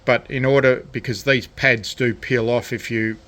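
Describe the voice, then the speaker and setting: A man giving a casual, unscripted hands-on tutorial as a hobbyist, speaking hesitantly with frequent pauses. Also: medium pitch, flat delivery, fairly bright tone. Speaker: hobbyist presenter of a DIY electronics video. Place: indoors at a cluttered radio workbench.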